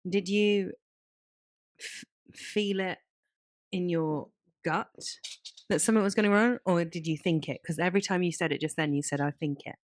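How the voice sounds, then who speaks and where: A woman's voice: on the low side, normal speed, dry recording. Casual woman podcaster, close dry sound. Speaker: podcast co-host. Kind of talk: casual chat.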